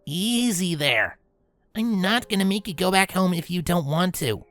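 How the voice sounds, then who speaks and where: A woman voicing a tomboyish, protective grown pegasus pony, speaking in a calm, low, reassuring way in an acted audio drama. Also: moderate pace. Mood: calm, firm.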